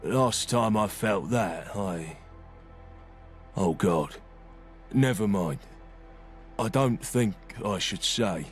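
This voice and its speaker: A man's voice: lowish voice, lively intonation, very slow, pauses often, faint music behind. A man playing an insecure, earnest young brawler in a video game, speaking plainly and hesitantly, stumbling over his words. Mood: troubled, vulnerable. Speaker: video game companion character. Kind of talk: game voice acting, halting heartfelt dialogue.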